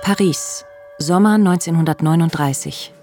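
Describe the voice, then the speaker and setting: Mellow, lowish female scene announcer. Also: normal speed, soft background music.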